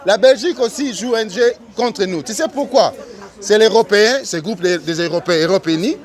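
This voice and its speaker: A man interviewing on the street, arguing his points and cutting in with quick agreement. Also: high-pitched. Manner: argumentative, interjects agreement often. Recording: crowd noise behind.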